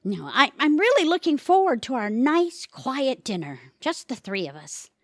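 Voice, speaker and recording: A woman's voice: high voice, very animated, normal speed, no room sound, studio quality. A woman playing a stubborn, flighty, new-age middle-aged mother in an audio comedy, with theatrical emphasis. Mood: flustered.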